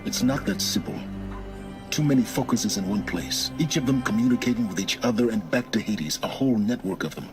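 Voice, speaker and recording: An actor playing a secretive, self-serving middle-aged man who guides with measured, cryptic authority in a video game. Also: lowish voice, lightly acted. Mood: grave, explaining. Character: secretive, commanding male informant serving his own interests. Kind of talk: dramatic video game acting. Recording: music behind the voice.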